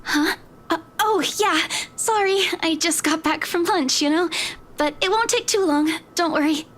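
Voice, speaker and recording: A woman's voice: very high-pitched, unhurried, a bit bright. A woman playing a nervous, chatty, sweet young female office worker in a visual novel game, hesitant and rambling. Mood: flustered.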